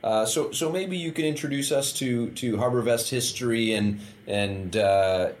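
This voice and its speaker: A man's voice: medium pitch, measured pace, slight background noise. A man hosting a podcast interview, talking casually with many fillers and restarts. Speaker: podcast host.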